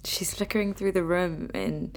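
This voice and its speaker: An actress playing a grieving granddaughter in a naturalistic radio drama, tender and firm, speaking in a measured, earnest way. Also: female voice, fairly low pitch, fairly even intonation, lightly acted. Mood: quietly insistent.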